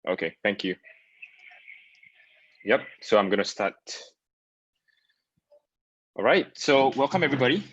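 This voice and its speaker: A man's voice: mid-range voice, sing-song, a little slow, pauses often, a bit bright. A man from Singapore, a product designer, giving a casual webinar presentation with frequent fillers and repeated words. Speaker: guest speaker, product designer. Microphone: video call. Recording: dull lo-fi video call audio.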